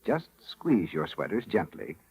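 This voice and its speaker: Deep husky American radio announcer. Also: male voice, huge pitch swings, measured pace, darkish tone, rough recording. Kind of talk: 1930s radio announcing, scripted. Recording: old 1930s radio broadcast.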